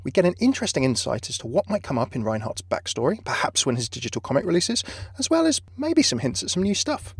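A man's voice: a little high, very animated, fast talker, gravelly, slightly rough, dry recording. A man hosting a video with scripted narration, recorded as a close voice-over. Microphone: close dry voice-over microphone.

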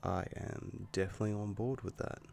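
Man as a deep, gravelly, slow-talking werewolf boyfriend. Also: steady tone, darkish tone, a little hiss or hum. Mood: relaxed, affectionate. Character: affectionate young male werewolf boyfriend, easily angered, protective. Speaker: roleplay audio performer. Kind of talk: intimate boyfriend roleplay ASMR.

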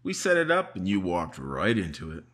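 Radio mystery: a man voicing a self-assured sheriff who explains the case step by step. His voice is bright, with a lively, rising and falling pitch. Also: normal speed, breathy edge, heavily acted. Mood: confident. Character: confident male sheriff, triumphant. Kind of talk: radio drama acting.

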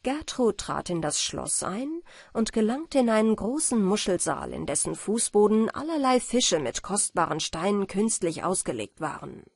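Audiobook storyteller: woman, mid-pitched, breathy, dry studio-like sound, expressive fairy-tale reading. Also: moderate pace, hardly any pauses, dry recording. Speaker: audiobook narrator.

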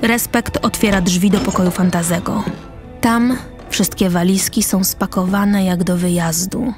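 A woman's voice: mid-range voice, fairly even intonation, unhurried, music in the background. Woman narrating a radio drama. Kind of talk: scripted radio drama narration, reading stage directions.

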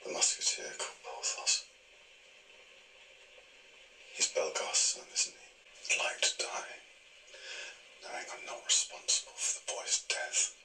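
An actor playing a grave, guilt-haunted soldier in a television period drama, solemn and intense. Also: male voice, very high voice, wildly swooping pitch, moderate pace, pushed and bright, a little hiss or hum, exaggerated voice. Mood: grave, guilty. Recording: distant, roomy playback of television audio.

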